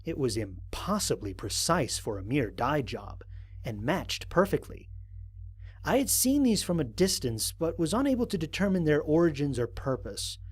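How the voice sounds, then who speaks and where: A man playing an analytical, dryly wry explorer recording a mission log in an audio drama, in formal, precise, measured diction. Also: a little high, husky. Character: analytical, dryly wry human explorer logging first contact.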